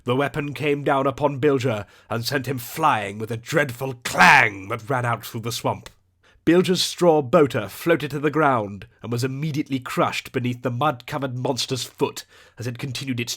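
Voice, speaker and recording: A man's voice: mid-range voice, moderate pace, a bit bright. A man narrating a scripted audiobook adventure in a theatrical, descriptive storytelling manner.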